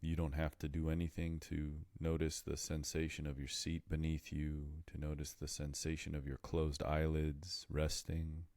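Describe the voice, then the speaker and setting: A man leading a guided meditation, speaking in soothing, measured phrases with deliberate pauses. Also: a bass voice, completely flat delivery, a little mellow, no room sound.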